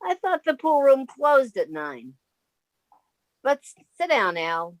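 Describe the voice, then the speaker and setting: A woman's voice: high-pitched, sing-song, takes it slowly, dry recording, full character voice, poor audio. A woman playing a sensible, wry roommate with a dry wit in an old-time radio comedy. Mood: wry, coaxing. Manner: wry, practical.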